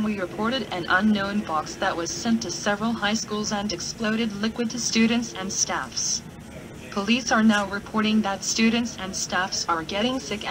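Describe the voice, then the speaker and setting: A woman playing a TV news anchor, reading a scripted bulletin in a flat, measured way. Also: medium pitch, noisy background, lightly acted.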